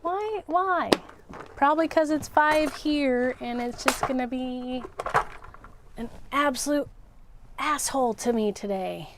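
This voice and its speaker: Woman, high voice, slow pace, casual craft livestream host, chatty, thinking out loud. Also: heavy background noise. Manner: chatty, self-narrating, repeats words. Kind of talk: casual live craft chat, thinking aloud.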